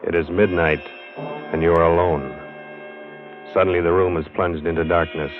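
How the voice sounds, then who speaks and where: Extremely deep, measured male radio announcer. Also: fairly even intonation, over loud music. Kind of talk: ominous 1940s radio scripted narration. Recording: dull lo-fi vintage radio recording.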